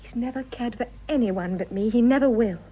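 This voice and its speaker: An actress playing an earnest, defiant young wife in love, speaking simply and resolutely in a radio drama. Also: female voice, fairly high pitch, brisk, breathy edge, highs cut off. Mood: candid, unhappy. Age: young adult.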